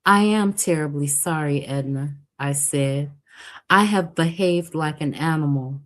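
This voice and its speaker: A woman's voice: low voice, a little slow. A woman voicing a remorseful, apologetic young man in a storybook reading. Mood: pleading.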